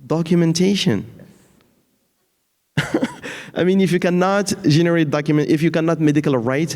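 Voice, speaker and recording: A man speaking off the cuff as an expert panelist, in a halting way as he searches for words. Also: on the high side, a little mellow. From Morocco and France. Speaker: panelist, data science leader in healthcare. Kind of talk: unscripted panel talk. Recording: echoey hall with long reverb.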